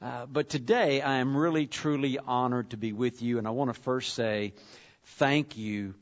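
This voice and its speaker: A man from far west Texas, a visiting convention leader giving a folksy, conversational church address with frequent pauses. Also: medium pitch, steady tone, dull audio.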